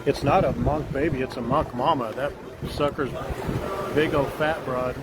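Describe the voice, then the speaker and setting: A man's voice: medium pitch, steady tone, moderate pace. Joking man livestreaming outdoors. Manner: joking, teasing, talks to chat viewers. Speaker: livestream host. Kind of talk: casual live-stream chat while walking. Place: outdoors along a beach and city streets. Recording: loud outdoor street and water noise.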